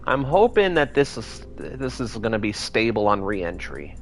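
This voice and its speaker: A man in his thirties talks slowly through a space game build, rambling and thinking aloud between tasks. His voice sits a little low and his pace is very unhurried. Faint music plays underneath. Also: sing-song. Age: 30s. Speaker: game streamer. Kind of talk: casual gameplay commentary.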